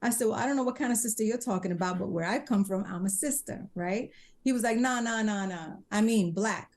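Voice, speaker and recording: A woman's voice: medium pitch, a little fast, a little mellow. A woman, a singer chatting as a podcast guest and retelling old exchanges in quoted dialogue. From Bronx, New York. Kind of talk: casual interview storytelling.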